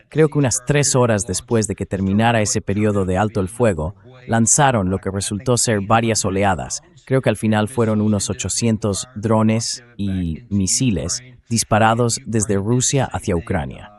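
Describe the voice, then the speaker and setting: A man's voice: on the low side, normal speed, darkish tone. A synthetic AI man's voice reading a dubbed translation of explanatory military commentary.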